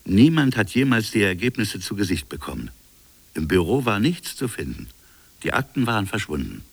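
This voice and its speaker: Radio drama colonial official: low, brooding, insistent narrator of his own inquiry, close dry sound. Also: sing-song, moderate pace, vocal fry, slightly rough, no echo, heavily acted. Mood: intent, sober. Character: colonial official recounting his stubborn private investigation. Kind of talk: radio drama dialogue and first-person narration.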